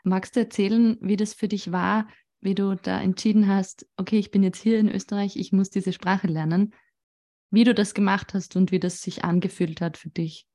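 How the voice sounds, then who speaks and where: A woman's voice: mid-range voice, fairly even intonation, moderate pace, warm tone. A woman hosting an interview, attentive and affirming as she asks her guest questions. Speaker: podcast host.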